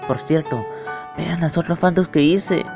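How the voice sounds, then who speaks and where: A man's voice: on the high side, unhurried, gravelly. A man speaking casually to viewers as the host of an amateur fandub channel. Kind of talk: casual video outro addressed to viewers. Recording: slightly dull lo-fi microphone, music behind. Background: music.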